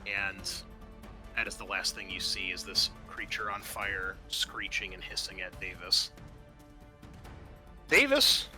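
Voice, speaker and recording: A man's voice: sits low, very animated, slow pace, long pauses, bright, forceful tone, soft background music. A man narrating a tabletop horror game as game master, moving between vivid storytelling and casual rules talk.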